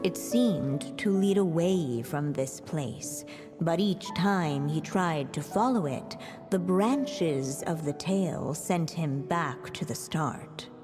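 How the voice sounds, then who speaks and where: A woman playing a solemn fairy-tale narrator in a video game, with measured, literary phrasing. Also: deep voice. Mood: solemn storytelling. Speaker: storybook narrator. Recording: reverberant hall, music underneath. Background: game music.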